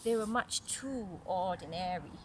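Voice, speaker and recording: A woman's voice: fairly low pitch, unhurried, background noise. A mother reading a children's story aloud, breaking off for casual explanations and questions to her child.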